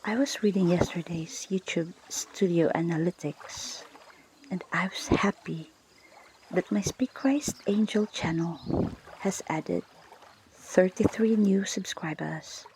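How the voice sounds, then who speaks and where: Female ASMR prayer host: breathy whisper with gravelly creak, very slow deliberate delivery, light water ambience underneath. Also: fairly low pitch, background noise. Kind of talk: whispered ASMR guided prayer and scripture reading. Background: ocean water ambience.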